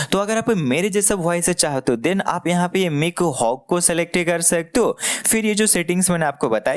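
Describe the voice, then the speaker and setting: A man with an Indian accent hosting a casual step-by-step software tutorial, explaining directly to the listener and checking they follow. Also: a little high. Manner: explanatory, addresses the listener, often checks understanding. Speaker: tutorial host.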